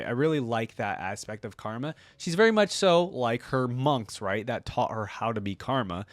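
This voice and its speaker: A man's voice: on the low side, no room sound. A man giving casual, rambling reaction commentary as a video creator, in a conversational way.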